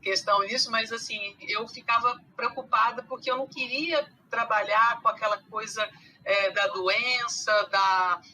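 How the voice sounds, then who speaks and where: Brazilian woman from rio, kinda mixed accent, really bright voice, talks with lots of ums and restarts, bit of noise behind. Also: on the high side, steady tone, unhurried, low-quality recording. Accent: mixed Brazilian Portuguese, carioca with Minas traces. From Rio de Janeiro, Brazil. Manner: hesitant, many fillers and restarts. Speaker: guest, animal science teacher. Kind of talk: casual podcast conversation.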